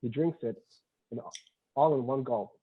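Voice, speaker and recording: A man's voice: medium pitch, unhurried, breathy edge. A man narrating a screenplay's stage directions in a plain, matter-of-fact way. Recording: muffled, dull lo-fi sound.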